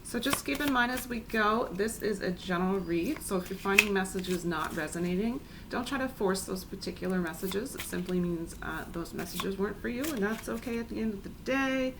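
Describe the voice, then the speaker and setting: Raspy-voiced woman reading tarot, soothing. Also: medium pitch, expressive, brisk, hardly any pauses, background noise. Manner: soothing, chatty, addresses viewers as guys. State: raspy, clearing throat. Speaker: tarot reader. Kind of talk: casual spiritual reading.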